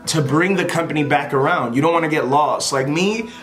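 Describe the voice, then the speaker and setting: A man's voice: a little high, brisk, a bit bright, soft background music. A man giving casual, unscripted advice to a camera as a vlogger, in a rambling, informal and apologetic manner.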